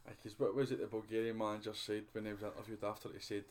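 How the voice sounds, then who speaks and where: A man with a Scottish accent hosting a casual podcast, talking in a relaxed, conversational way that often drops to a mutter. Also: on the low side, racing. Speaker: podcast host. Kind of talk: casual chat.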